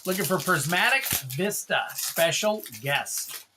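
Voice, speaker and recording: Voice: mid-range voice, expressive, measured pace, sharp tone, slight background noise. A livestream host opening card packs, chatting casually, calling out card names and thanking viewers in a rambling way.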